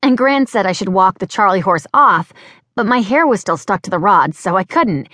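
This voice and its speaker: A woman's voice: mid-range voice, animated, moderate pace, a bit bright, no room sound, studio quality. A woman voicing a clumsy, bubbly young American woman with an American accent who rambles on breathlessly. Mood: chatty.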